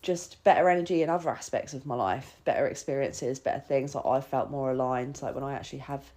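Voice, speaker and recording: A woman's voice: a bass voice, brisk, mellow. A woman talking casually and confessionally as a podcast host, with informal phrasing full of "like". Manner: informal, sweary, frequent "like" and self-quoting. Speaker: podcast host sharing personal experience.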